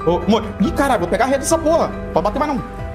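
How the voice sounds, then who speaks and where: Man, Brazilian accent, excited gaming commentary, very varied pitch, repeated phrases, profane slang. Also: racing, over music. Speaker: gaming streamer. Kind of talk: excited live game-stream commentary. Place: indoor room, webcam streaming setup.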